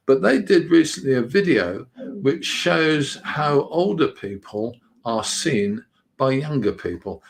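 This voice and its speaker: A man's voice: mid-range voice, gravelly. An older man hosting a live chat show for older viewers, talking in a loose, rambling way with fillers. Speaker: host.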